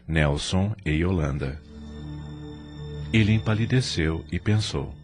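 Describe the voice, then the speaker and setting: A man's voice: low-pitched, takes it slowly, music in the background. A man narrating an audio novela in a dramatic scripted storytelling style.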